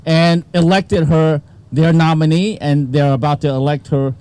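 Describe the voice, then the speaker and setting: A man's voice: a little high, flat delivery, normal speed, a crowd in the background. A man speaking with enthusiasm and emphasis, introducing and praising a local candidate. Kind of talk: campaign speech.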